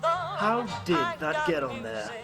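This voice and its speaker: A man's voice: a little high, wildly swooping pitch, slow pace, loud music behind the voice, exaggerated voice. An actor playing a smug, sadistic male villain in an audio drama, mocking, theatrical and manipulative. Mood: sly, gleeful.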